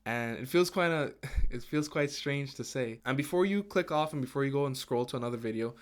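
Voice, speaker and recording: Man, 20s, grew up in Guyana, podcast host, earnest, halting, medium pitch. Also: expressive. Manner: earnest, halting, with restarts and fillers. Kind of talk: casual solo podcast talk.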